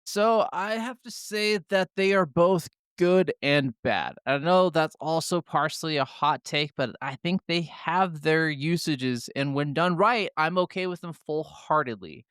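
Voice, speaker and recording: A man's voice: fairly high pitch, measured pace, hardly any pauses, fairly bright tone, clear, resonant tone, no room sound, studio quality. A man co-hosting a gaming podcast, giving his opinions in casual conversation. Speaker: podcast co-host.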